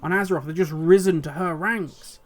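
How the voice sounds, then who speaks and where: Guy with a high voice getting hoarse, rambly and passionate solo commentary. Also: normal speed. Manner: passionate, rambling, musing aloud. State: voice going hoarse. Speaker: video host. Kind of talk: solo commentary on game lore.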